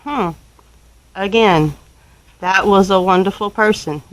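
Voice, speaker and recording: A woman's voice: mid-range voice, slow, poor audio. A woman with a Southern US accent tells a personal story to viewers in a casual, folksy, rambling way, using country turns of phrase. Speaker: homestead vlogger telling a personal story.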